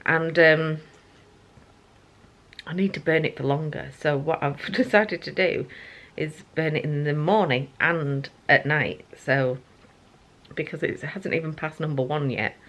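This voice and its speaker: A middle-aged woman with a croaky, just-woken voice, chatting casually to her camera at home in the morning, rambling with lots of fillers. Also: low voice, a little slow. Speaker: vlogger. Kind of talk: casual morning vlog chat. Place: family home kitchen.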